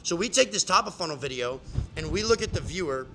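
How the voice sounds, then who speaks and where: A man's voice: fairly high pitch, animated, quick pace, fairly bright tone, slight background noise, poor audio. A man coaching a client in a casual, emphatic way, full of "like" and restarts. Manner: casual, filler-heavy, emphatic explaining. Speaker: business coach. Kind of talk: live coaching session.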